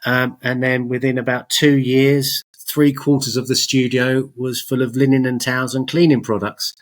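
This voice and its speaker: A man's voice: mid-range voice, level tone, normal speed. Middle-aged guy chatting on a podcast, rambles a bit, stops and restarts his thoughts. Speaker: podcast guest, business owner. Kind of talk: conversational interview, telling personal story.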